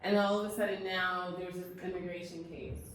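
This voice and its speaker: A woman's voice: fairly low pitch, level tone, fairly quick, a bit bright. A woman hosting an interview, retelling her guest's story back to him and asking probing follow-up questions. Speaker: interview host. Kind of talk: conversational interview. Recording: echoey room, mic at a distance, faint noise.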